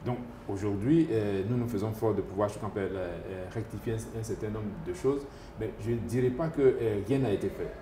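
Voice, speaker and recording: A man's voice: fairly low pitch, normal speed, noisy background. A man, a doctor answering interview questions, hesitant, with many fillers and restarts. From Côte d'Ivoire. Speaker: epidemiologist doctor. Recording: echoey room with faint ambience.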